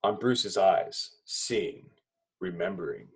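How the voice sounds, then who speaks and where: A man reading stage directions as narrator at a table read, in dramatic, clipped phrases with pauses. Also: sits low, slightly rough, poor audio.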